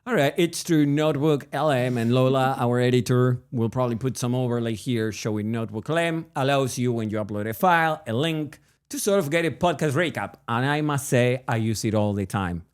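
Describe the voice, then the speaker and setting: A man's voice: medium pitch, a little fast, clean tone. A man from Argentina with an Argentine Spanish accent, co-hosting a podcast in a casual, joking, rambling chat. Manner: joking, rambling, frequent restarts and laughs. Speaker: podcast co-host.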